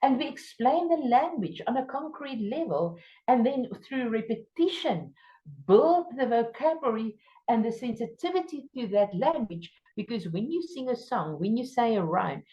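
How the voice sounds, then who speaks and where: A woman's voice: sing-song, moderate pace, mellow, highs cut off. Afrikaans-accented woman giving an emphatic lecture. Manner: emphatic, stresses key words with jumps in pitch. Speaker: teacher trainer. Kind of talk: workshop lecture.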